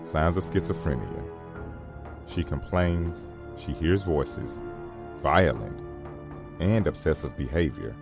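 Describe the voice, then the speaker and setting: A man's voice: a bass voice, lively intonation, takes it slowly, creaky, long pauses, over music, full character voice. An actor playing a protective, hot-headed young man in an audio horror drama, assertive and earnest. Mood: intent, grim.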